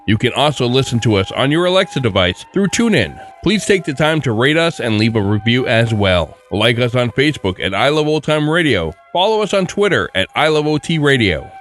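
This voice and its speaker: A man's voice: medium pitch, fairly bright tone. Male podcast host reading scripted outros, mid-range voice with very varied melody.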